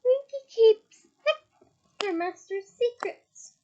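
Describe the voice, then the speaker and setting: A woman voicing a squeaky female house-elf, teary and devoted, tipsy servant, very high, mellow tone, slightly dull lo-fi sound. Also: steady tone, slow. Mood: indignant.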